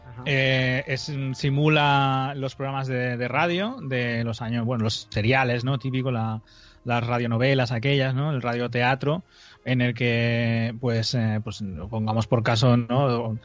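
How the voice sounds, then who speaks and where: A man from explaining casually and haltingly, often tagging phrases with a question, on a muffled recording. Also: medium pitch, steady tone. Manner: halting, seeking agreement with tags. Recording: muffled lo-fi clip over faint music.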